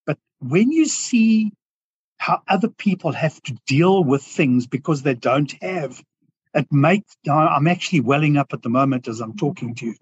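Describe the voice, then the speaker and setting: Earnest South African man, husky voice. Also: a little high, lively intonation, no room sound, lo-fi sound. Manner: earnest, reflective, halting with restarts. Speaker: guest business owner. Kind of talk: podcast interview.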